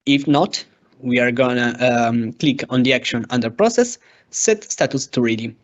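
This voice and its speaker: A man narrating a step-by-step software demo as a tutorial presenter, with a hesitant, halting delivery. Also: mid-range voice. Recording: dull, lo-fi microphone sound.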